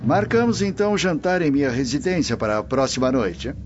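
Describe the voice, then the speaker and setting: A Brazilian-accented actor playing a genial, courteous old Roman official in a radio drama. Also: male voice, mid-range voice, brisk, lo-fi sound, over music, lightly acted. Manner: genial, courteous, hesitant interjections.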